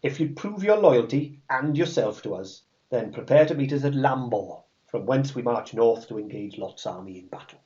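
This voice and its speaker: A man's voice: medium pitch, animated, moderate pace, few pauses, warm tone, dull audio, close to an everyday voice. A man voicing Merlin, an old male wizard, with a put-on Welsh accent, giving orders. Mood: formal. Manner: commanding, briefing tone.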